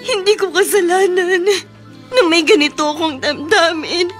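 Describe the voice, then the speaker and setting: A woman's voice: very high voice, measured pace, a bit bright, soft background music. A woman acting in a Filipino radio romance drama as a lovelorn young woman, pleading and emotionally intense. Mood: anguished, tearful. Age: young adult. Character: lovelorn young woman secretly in love with her best friend.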